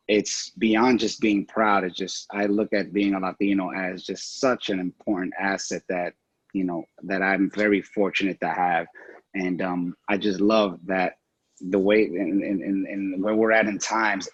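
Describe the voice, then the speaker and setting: A man answering a panel question reflectively, halting with frequent ums and restarts. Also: deep voice, slightly rough, no echo, muffled recording. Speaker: panel guest, record executive.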